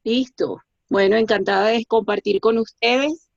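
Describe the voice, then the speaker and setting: Woman auditor presenting online; measured and hesitant, dull dry audio. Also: fairly high pitch, gravelly, no room sound. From Colombia. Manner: steady explanatory delivery with frequent hesitations. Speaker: quality management consultant and auditor. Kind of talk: webinar presentation.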